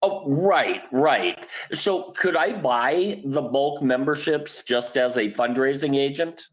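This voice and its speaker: Male caller, unhurried delivery, polite, mid pitch, dull lo-fi line. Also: lively intonation. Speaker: caller asking a question. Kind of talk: short polite question on a conference call. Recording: dull lo-fi call audio.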